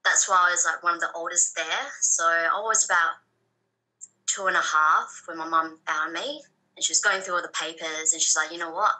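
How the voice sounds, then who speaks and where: A woman in her thirties, born in Thailand and raised in Australia, speaks. Her voice sits a little low but has a very bright, sharp edge. She talks softly and hesitantly, pausing often as she recalls her story. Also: fairly even intonation, low-quality recording. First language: Thai. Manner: soft-spoken, hesitant with frequent ums. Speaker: guest. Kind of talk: personal story interview.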